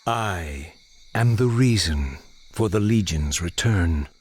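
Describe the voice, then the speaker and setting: A man voicing a mysterious, remorseful prophet in a game cinematic, grave and wise, with deliberate dramatic delivery. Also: low voice, lively intonation, breathy edge, dry recording, studio quality, heavily acted. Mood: solemn, remorseful. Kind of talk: video game cinematic acting. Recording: loud music under voice.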